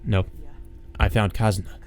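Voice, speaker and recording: A man voicing a calm, blunt, easily exasperated woman from a visual novel in a curt, dry manner. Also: fairly low pitch, moderate pace, natural voice.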